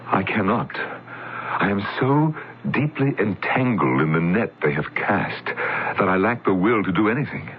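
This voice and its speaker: An actor playing an older, wistful and conflicted scientist in a radio drama, halting and reflective. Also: male voice, on the low side, lively intonation, a little hiss or hum. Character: old male scientist, conflicted, wistful, self-effacing. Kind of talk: 1970s-80s radio drama acting. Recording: tinny old radio recording, music behind.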